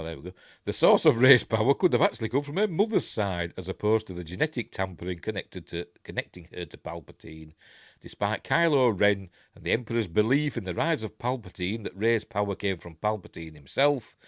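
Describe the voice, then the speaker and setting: A lowish, stuffy-nosed older man with a broad Yorkshire accent hosts a casual news live stream. He reads articles with plenty of rise and fall, breaking off for dry, blunt remarks. His voice sits close and dry on the mic, with no room sound. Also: no echo. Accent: Yorkshire English. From Yorkshire, England. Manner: reads articles aloud with wry, blunt off-the-cuff asides. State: congested, stuffy nose. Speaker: host of a geek news stream.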